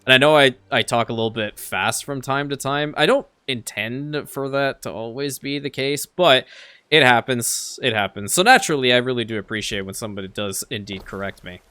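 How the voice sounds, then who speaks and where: A man's voice: mid-range voice, fairly quick, sharp tone, clean tone, dry recording. A man whose first language is Farsi, chatting casually as he plays a city-building game, often repeating a word as he restarts a sentence. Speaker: let's play creator. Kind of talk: casual gameplay commentary.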